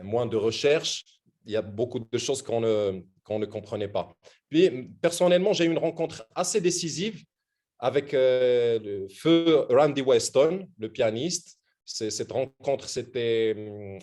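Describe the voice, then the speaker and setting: A man from Morocco, speaking in a mid-range voice on a panel. He recalls things at an unhurried, reflective pace and often stops to search for the right word. He comes through a video call link. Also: medium pitch, darkish tone. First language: Arabic. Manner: reflective, anecdotal, searching for words. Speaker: musician on a panel. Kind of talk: round-table talk.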